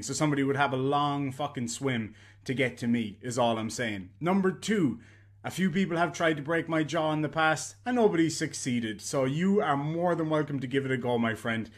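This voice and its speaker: A man's voice: mid-range voice, normal speed. A man in his thirties with an Irish accent, talking bluntly and profanely to a webcam in a room at home. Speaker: YouTuber. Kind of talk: casual vlog rant.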